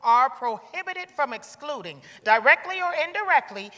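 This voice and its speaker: Female stage reader, high-pitched, formal dramatic reading, long hall reverb. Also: lively intonation, normal speed, a bit bright. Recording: echoing theatre stage.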